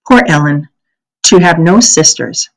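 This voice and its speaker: A woman's voice: low-pitched, big pitch swings, measured pace, heavily acted, rough recording. A woman reading the lines of a thoughtful, sensible young girl, an older sister in a storybook, in an earnest manner. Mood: fond.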